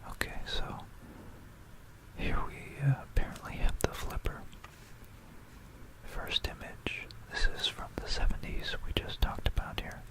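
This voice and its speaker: An ASMR creator whispering about history in a hushed, rambling, musing way, close into a stereo microphone. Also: measured pace, pauses often, poor audio. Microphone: close stereo binaural microphone.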